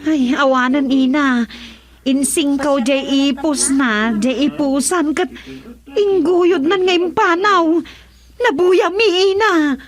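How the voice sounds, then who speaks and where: A woman's voice: sits high, slow pace. A woman playing a plaintive comic female character in a radio comedy drama.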